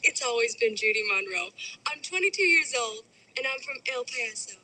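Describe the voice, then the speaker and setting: A woman's voice: fairly high pitch, lively intonation, moderate pace, pushed and bright, full character voice, low-quality recording. An actress playing a bubbly female dancer in her early twenties, breathless and giggly. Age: early 20s.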